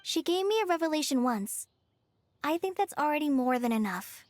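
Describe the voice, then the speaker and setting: A woman voicing a gentle, timid young girl in a video game, speaking in an earnest, polite and hesitant way. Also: high-pitched, animated, moderate pace, no room sound. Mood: earnest, resolute. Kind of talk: dramatic video game voice acting.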